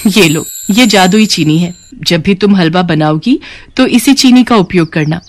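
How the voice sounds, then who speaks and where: A woman's voice: on the low side, measured pace. A woman voicing a benevolent female fairy in a storybook tale, gracious and reassuring.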